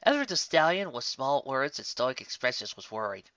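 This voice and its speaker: Forceful male story narrator, lo-fi sound. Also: medium pitch, very animated, normal speed, dry recording. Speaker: fan fiction narrator. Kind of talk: expressive scripted story narration.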